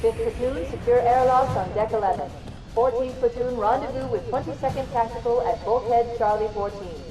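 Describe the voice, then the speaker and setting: An actress playing a formal warship announcer, giving clipped military alerts over a public-address speaker in a game. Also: female voice, a little high, moderate pace. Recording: echoey distant PA with music behind.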